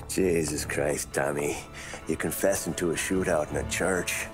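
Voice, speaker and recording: An actor playing a tough, world-weary lawman, gruff and sardonic, in a dramatic video game. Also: rumbling low, steady tone, slow, over music. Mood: incredulous, wry.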